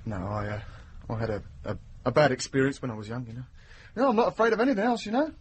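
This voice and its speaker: Jumpy pleading bloke in a radio play, breathy, voice all over the place, blustery. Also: male voice, medium pitch, sing-song, quick pace. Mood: nervous, blustering. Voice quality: husky, breathy. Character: jittery, pushy, boastful male dental patient. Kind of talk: naturalistic radio drama acting.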